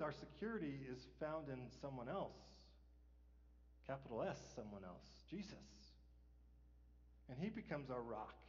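Middle-aged pastor preaching in a big church. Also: male voice, fairly high pitch, darkish tone. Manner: conversational preaching, asks rhetorical "right?", chuckles. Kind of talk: sermon. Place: large church sanctuary, stage. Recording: dull, hall reverb.

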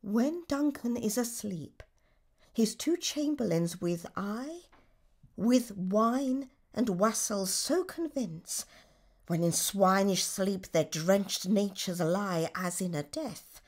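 An actress playing a ruthless, scheming noblewoman, speaking Shakespearean verse in a deliberate, theatrical manner for a radio drama. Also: medium pitch, animated, darkish tone.

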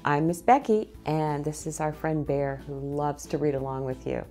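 A middle-aged woman hosting a children's storytime, reading aloud in a deliberate way and speaking directly to young listeners. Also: low-pitched, fairly even intonation, warm tone, faint music behind. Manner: deliberate phrasing, addressing child listeners with questions. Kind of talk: slow, animated picture-book read-aloud for young children. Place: small indoor set with bookshelves, seated in an armchair.

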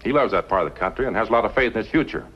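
An actor playing an earnest, friendly frontier marshal in a 1950s television western. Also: male voice, fairly low pitch, expressive, rapid-fire, close to an everyday voice. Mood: concerned, friendly. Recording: muffled, noisy old television soundtrack.

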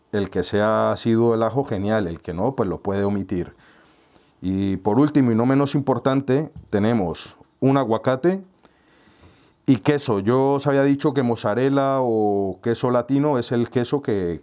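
A middle-aged man from Colombia, hosting a home cooking tutorial and calmly explaining each step with small friendly asides. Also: medium pitch, muffled recording. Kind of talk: relaxed recipe explanation. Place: home kitchen, indoors.

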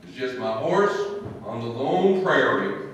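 A man's voice: mid-range voice, sing-song, unhurried, fairly bright tone, a little hiss or hum, voice pushed down. A man putting on a brash, jovial cowboy with a Texan drawl for an old-time radio comedy. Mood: folksy. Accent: put-on Texan. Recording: distant, echoey stage recording.

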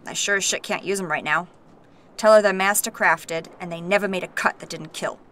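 Low-voiced, blunt warrior woman, acted by a woman. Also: a little fast, a bit bright, a little hiss or hum, lightly acted. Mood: stern, warning. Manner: blunt, terse, commanding. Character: blunt, gruff, foul-mouthed wounded warrior woman. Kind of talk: naturalistic audio drama acting.

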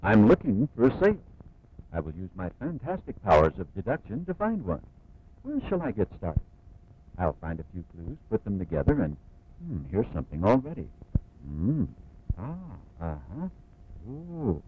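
A pompous, self-assured detective from an old cartoon. The voice is husky and leaps dramatically in pitch, full of theatrical little exclamations. The soundtrack is muffled and lo-fi. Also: male voice, wildly swooping pitch, measured pace, full character voice. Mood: pompous, inquisitive.

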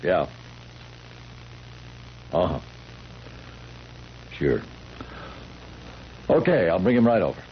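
An actor playing a calm, probing sheriff in a 1970s radio drama, folksy and matter-of-fact, on a muffled old recording. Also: male voice, low voice, slow pace, pauses often, slight background noise, exaggerated voice. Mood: casual, probing.

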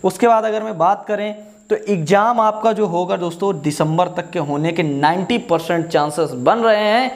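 A man's voice: high voice, normal speed. A young man explaining exam updates like a coaching teacher, repeating points and adding check-in questions. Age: young adult. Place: small indoor room, standing at a whiteboard. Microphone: lapel mic.

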